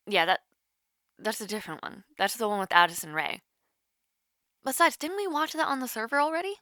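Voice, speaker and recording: Animated, awkward young woman, voiced by an actress. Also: a little high, dry recording, clean studio sound, exaggerated voice. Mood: playful, animated. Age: young adult. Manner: awkward, rambling, hesitant with many fillers. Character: nervous, rambling young woman student on a call with her online crush. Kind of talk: naturalistic romantic audio roleplay, casual one-sided call.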